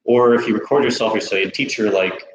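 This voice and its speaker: An American man hosting a casual livestream Q&A, relaxed and chatty, laughing easily. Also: fairly low pitch, a little fast, echoey room, lo-fi sound, a little hiss or hum. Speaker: host.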